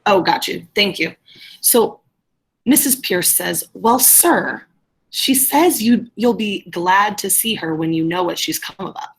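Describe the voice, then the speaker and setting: A woman with a slightly rough, husky voice at a medium pitch. She reads play lines and stage directions aloud, stepping out to direct the other readers as a teacher would. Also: animated, measured pace, low-quality recording. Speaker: teacher leading a reader's theatre class.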